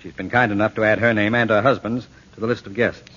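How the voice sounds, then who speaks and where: A man acting a genial, gentlemanly detective in a 1940s radio drama, polite and dryly courteous. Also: fairly low pitch, fairly even intonation, fast, heavy background noise, lightly acted. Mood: genial, determined. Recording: dull, muffled vintage radio recording.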